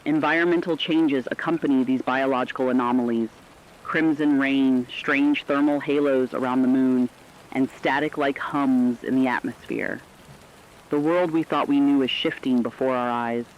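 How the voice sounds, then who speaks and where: A man playing an earnest radio co-anchor, giving scripted, urgent warnings in a serious, deliberate manner. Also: medium pitch, fairly even intonation, lo-fi sound, a little hiss or hum, close to an everyday voice. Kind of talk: scripted dramatic news narration.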